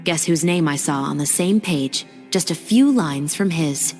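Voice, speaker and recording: A composed patrol officer in a game cutscene. Her voice sits on the low side, measured and resolute, speaking of law like someone who believes in it. It rings with long reverb over music. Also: darkish tone. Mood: deductive, assured. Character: composed female patrol officer, firm believer in lawful justice. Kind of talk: dubbed video game cutscene acting.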